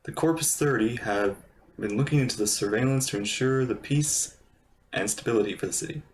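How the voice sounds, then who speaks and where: A man's voice: mid-range voice, fairly quick, a little hiss or hum, rough recording. A man giving casual, blunt live commentary as a streamer reacting to a game.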